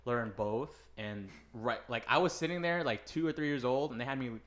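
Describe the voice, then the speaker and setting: A man's voice: mid-range voice, moderate pace. Easygoing man, native Vietnamese speaker. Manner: relaxed, filler-heavy, stumbles over words. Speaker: podcast co-host. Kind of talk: casual chat.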